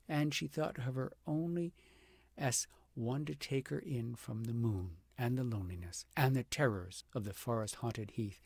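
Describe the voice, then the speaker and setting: Gravelly, husky man reading a storybook. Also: mid-range voice, no room sound. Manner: dramatic, deliberate phrasing with emphatic swells and hushed drops. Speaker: audiobook narrator reading a fairy tale aloud. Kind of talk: expressive storybook narration.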